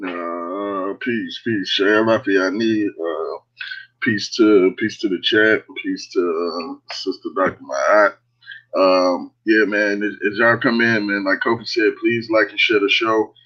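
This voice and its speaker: A man's voice: lowish voice, fairly even intonation, takes it slowly, fairly bright tone. A man co-hosting a livestream, laid-back and casual, greeting the audience with many fillers. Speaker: co-host. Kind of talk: casual chat. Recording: dull lo-fi call audio.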